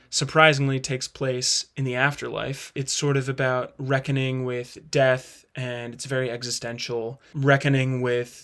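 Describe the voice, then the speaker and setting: A man with a medium-pitched voice gives a casual spoken review. He talks like a host thinking out loud, qualifying his judgments as he goes.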